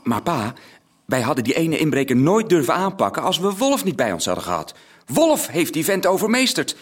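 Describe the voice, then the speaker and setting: A man's voice: fairly high pitch, sing-song, fairly quick. An actor playing a cheeky but earnest teenage boy in a radio drama. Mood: earnest pleading. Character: plucky, cheeky teenage boy, policeman's son. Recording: noisy radio drama recording.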